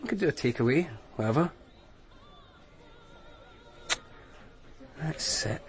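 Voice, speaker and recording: A man's voice: a little high, animated, quick pace. Easygoing policeman off duty. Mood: musing. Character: off-duty male police officer courting his girlfriend, easygoing. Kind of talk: naturalistic radio drama dialogue.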